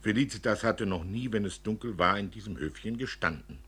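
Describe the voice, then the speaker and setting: A man reading a literary story for radio in a dramatic, expressive way, acting out the dialogue. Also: fairly low pitch, brisk, breathy edge. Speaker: narrator.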